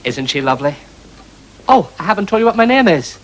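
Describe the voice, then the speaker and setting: Daft young servant, bouncy swooping delivery, comic patter, noisy recording. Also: male voice, high voice, very animated, brisk. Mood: cheerful, daft. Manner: chatty, gormless, bouncy. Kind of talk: pantomime comic patter to audience.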